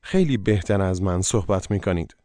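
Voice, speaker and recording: A man's voice: sits low, rapid-fire, dark tone, no room sound, studio quality. A man narrating a language course, giving short, directive prompts for the listener to repeat. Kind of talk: scripted instruction.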